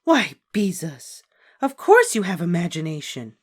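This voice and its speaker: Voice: medium pitch, normal speed, pitched above the performer's own voice, close to an everyday voice. A reader voicing a kind woman art teacher who speaks reassuringly to children. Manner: gentle and reassuring. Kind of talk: audiobook character voice.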